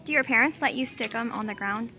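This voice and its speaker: High-pitched young woman, noisy store. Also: quick pace. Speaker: vlog host. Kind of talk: casual shopping chat. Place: large retail store. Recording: busy store background noise.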